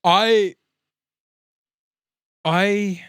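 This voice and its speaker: A man's voice: sits high, fairly even intonation, extremely slow, fairly bright tone, smooth, no room sound, studio quality. A man co-hosting a podcast in casual conversation, blunt and jokey, with some swearing. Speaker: podcast co-host. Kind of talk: casual chat.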